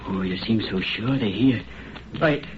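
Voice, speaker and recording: An actor playing an ardent, pleading young Irish farmer's son in florid old-time radio melodrama. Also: male voice, on the low side, a little slow, close to an everyday voice. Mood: impassioned, uneasy. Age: young adult. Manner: impassioned, florid. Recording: muffled, noisy vintage radio recording.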